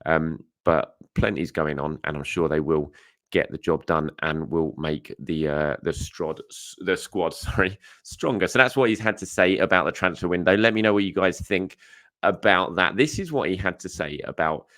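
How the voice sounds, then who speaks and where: Croaky, low-voiced man hosting a chat show. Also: moderate pace, a bit bright, no room sound. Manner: chatty, with frequent fillers and self-corrections. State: hoarse. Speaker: football news show host. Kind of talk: casual solo commentary.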